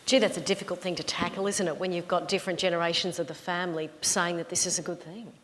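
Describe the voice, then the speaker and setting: A woman hosting a panel, briskly steering the discussion and inviting questions. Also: lowish voice, steady tone, fairly bright tone. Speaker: host and moderator. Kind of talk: moderating a panel. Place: university lecture hall. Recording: reverberant lecture hall.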